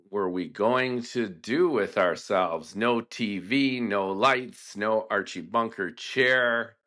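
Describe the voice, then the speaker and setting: A middle-aged man telling a personal family story, slow and deliberate, pausing between phrases. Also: on the low side, sharp tone. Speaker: podcast host and storyteller. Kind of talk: reflective first-person storytelling.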